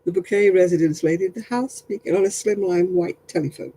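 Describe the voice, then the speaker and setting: A man's voice: high-pitched, a little fast, warm tone, slightly rough. A man chatting casually with viewers while crocheting on a livestream, self-mocking and laughing often. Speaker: craft livestream host.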